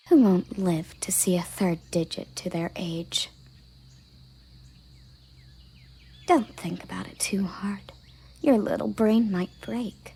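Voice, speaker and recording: A haughty female elven captain of the guard, acted by a woman for an audio roleplay. Her voice is breathy, mellow and on the low side. She speaks slowly and curtly, giving commands with cool condescension. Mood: proud, disdainful. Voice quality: husky, breathy, soft low voice. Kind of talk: audio roleplay addressed to the listener.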